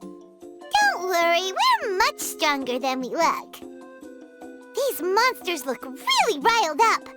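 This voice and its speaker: A woman voicing a tiny, childlike fairy companion in a video game, squeaky, perky and exclamatory. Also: huge pitch swings, slow, over loud music, heavily acted. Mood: confident, perky. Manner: perky, exclamatory, refers to herself by name. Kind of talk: cartoonish video game character acting.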